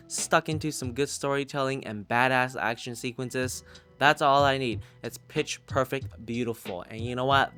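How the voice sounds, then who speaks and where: A man with a mid-pitched voice talks like a casual YouTube reviewer recommending things he loves. He is emphatic and gushing and swears freely, with music playing under his voice. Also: fairly bright tone. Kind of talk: casual enthusiastic review, recommending audio dramas. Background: background music.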